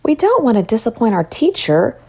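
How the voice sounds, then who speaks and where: Woman reading as a sensible big sister, animated. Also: on the high side, normal speed, slightly rough, full character voice. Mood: reasoning. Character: sensible, bossy nine-year-old big sister.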